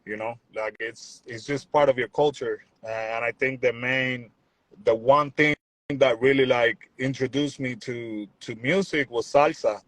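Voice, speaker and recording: A young man from Puerto Rico, talking in a relaxed, rambling way as a musician guest on a video call. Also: medium pitch, unhurried, no room sound, poor audio. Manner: relaxed, rambling, repeats words, says "you know what I'm saying". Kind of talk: casual interview chat.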